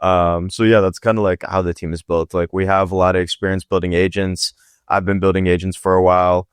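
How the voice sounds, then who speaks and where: Casual founder type, man, low and smooth, lots of "like", dry close sound. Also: flat delivery, fairly quick, dry recording. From Pakistan. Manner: casual, filler-heavy, lots of "like" and "right?". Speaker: guest, startup founder. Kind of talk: casual podcast interview.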